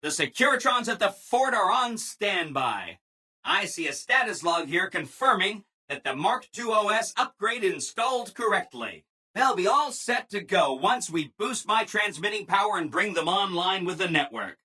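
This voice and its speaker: Game robot assistant: bright, very animated, ingratiating, relentlessly upbeat people-pleaser. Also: male voice, sits high, moderate pace, breathy edge, full character voice. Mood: chipper, helpful. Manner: chipper, ingratiating, emphatic, apologetic people-pleaser. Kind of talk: scripted video game dialogue.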